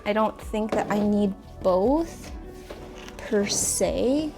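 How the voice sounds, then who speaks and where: A woman's voice: medium pitch, lively intonation, very slow, dark tone, faint music behind. A sniffly woman chatting casually and rambling a little while she rearranges houseplants in her apartment. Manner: chatty, rambling, self-correcting. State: sniffly, stuffy nose. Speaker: plant vlogger. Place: apartment plant room.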